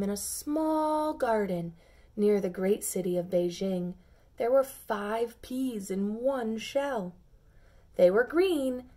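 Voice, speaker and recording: A woman reading a picture book aloud to children, with gentle, chatty asides to her listeners. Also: a little high. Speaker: storybook reader.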